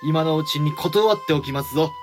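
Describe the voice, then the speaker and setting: A man's voice: on the high side, brisk, over music, lightly acted. A man playing a dignified, frank samurai clan head and protective elder brother in a Japanese period audio drama, with stately archaic speech. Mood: frank, wry.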